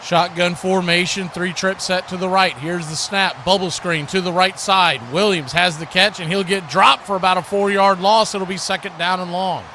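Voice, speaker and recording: An American man with a high-pitched voice commentates a football game live. He calls the action in short, clipped phrases, as the host of a play-by-play stream. Clear ambient noise sits behind his voice. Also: fairly even intonation, moderate pace, few pauses. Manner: clipped present-tense calls.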